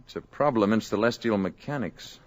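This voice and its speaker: An actor playing a courteous male space visitor posing as an ordinary man, soft-spoken and assured, in 1950s radio drama style. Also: lowish voice, fairly quick, natural voice. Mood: calm.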